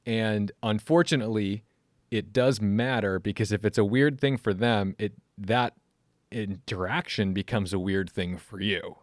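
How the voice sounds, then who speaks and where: A man hosting a podcast interview, talking earnestly in a casual, animated way and acting out other people's remarks. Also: on the low side, a little slow, dry recording, clean studio sound. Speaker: podcast host.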